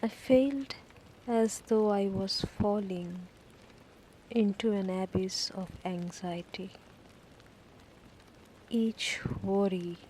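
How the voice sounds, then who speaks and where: A woman narrating a guided sleep meditation story in short, deliberate phrases with long pauses between them. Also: mid-range voice, mellow, a little hiss or hum, rough recording. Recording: rain ambience behind the voice. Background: falling rain.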